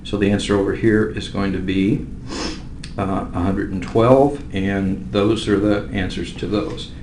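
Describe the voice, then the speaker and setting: A man teaching math, working through problems step by step in a measured way with pauses. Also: lowish voice, steady tone, a little mellow. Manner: measured, with pauses while writing. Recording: roomy echo with faint background noise.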